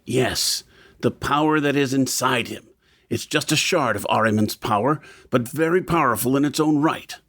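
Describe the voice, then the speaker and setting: A dry, close male voice at a middling pitch from an actor. He plays an earnest, secretive man who explains things carefully in an audio drama. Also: normal speed, fairly bright tone, dry recording, lightly acted. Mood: revelatory.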